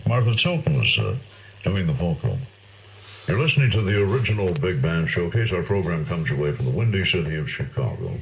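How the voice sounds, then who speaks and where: A man's voice: very deep voice, vocal fry, a little hiss or hum. An American man presenting a music show as a radio DJ, heard through an old radio set. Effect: played through an old radio set. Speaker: radio host of a big band music show. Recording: muffled sound from old AM radio speaker.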